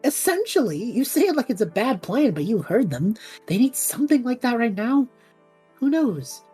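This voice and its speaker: Actress as a restless young man in an audio drama, soft dark tone, rough-edged, earnest. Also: a little high, sing-song, normal speed, soft background music, exaggerated voice. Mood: determined. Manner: casual, hesitant, earnest. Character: restless, idealistic young adult male traveler between worlds. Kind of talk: scripted audio drama acting.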